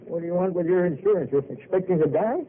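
An actor playing a stubborn old grandfather and former pioneer, gruff and blunt, in a radio drama. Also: high-pitched, fast, a little hiss or hum, lightly acted. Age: older. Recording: tinny old radio broadcast.

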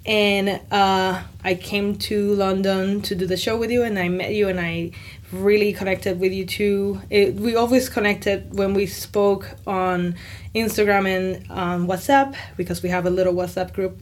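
A woman's voice: medium pitch, steady tone, a little slow. A woman hosting a podcast interview who gushes and exclaims often. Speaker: podcast host. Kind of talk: scripted intro, then casual interview chat.

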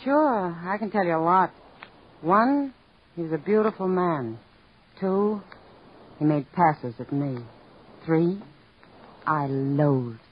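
An actress playing a blunt, cool-mannered young woman with a breathy voice, in 1940s radio acting. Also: fairly low pitch, lively intonation, slow pace, long pauses, full character voice. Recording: muffled old radio recording.

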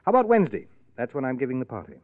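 A man's voice: mid-range voice, huge pitch swings, rapid-fire, no echo. An actor playing a wry, bitter failed male playwright with sardonic, needling delivery in old-time radio drama style. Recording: muffled vintage radio recording, narrow band.

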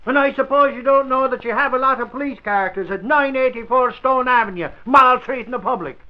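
An actor playing a blustering, indignant, pushy newspaper reporter in an old radio drama. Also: male voice, very high voice, a little fast. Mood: accusing, sarcastic. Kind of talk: 1940s radio drama acting. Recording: muffled old radio recording.

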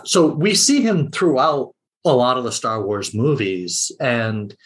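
Musing man as podcast guest. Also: medium pitch. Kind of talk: casual podcast discussion.

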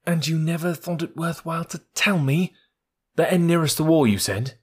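A man voicing a small, brave male mongoose with a husky voice, talking sharply and urgently. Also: on the high side, normal speed, voice pushed up. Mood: impatient, urgent.

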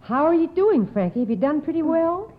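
A woman hosting an old-time radio quiz in a formal, measured, encouraging way with precise wording. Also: sits high, big pitch swings. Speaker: quiz show host. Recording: tinny, narrow old radio recording.

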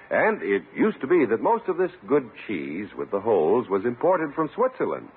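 Male radio announcer, very animated, medium pitch, polished scripted delivery, old-time broadcast, narrow noisy recording. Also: slightly rough. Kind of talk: scripted 1940s-50s radio advertising and narration.

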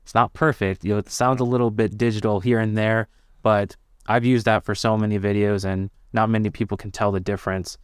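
A man's voice: lowish voice, steady tone, brisk, clear, resonant tone, no room sound, studio quality. A man who works as a video editor, guesting on a podcast and sharing tips in an easygoing, enthusiastic way. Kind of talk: casual podcast conversation.

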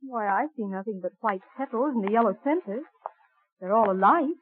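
Actress as high-voiced gentle colonial wife, tinny radio. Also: female voice, a little fast, a little hiss or hum, close to an everyday voice. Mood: practical, doubtful. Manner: gentle, plain-spoken, old-fashioned. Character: gentle, practical young colonial Quaker farm wife, woman. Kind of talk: old-time radio drama acting. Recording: tinny band-limited old radio recording.

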